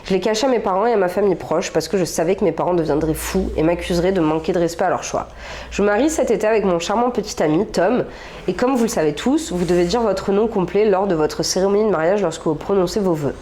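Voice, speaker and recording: A woman's voice: medium pitch, fast talker, mellow. A young woman co-hosting a casual chat show, talking freely and reading a story aloud with small slips and asides. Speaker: podcast co-host reading stories.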